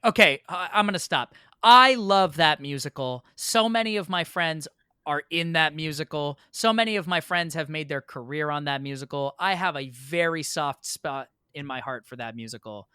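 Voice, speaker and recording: A man's voice: a little high, normal speed, bright, forceful tone, clean tone, no room sound, studio quality. An American man chatting casually as a podcast guest, emphatic and prone to repeating words. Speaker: podcast guest, theatre performer.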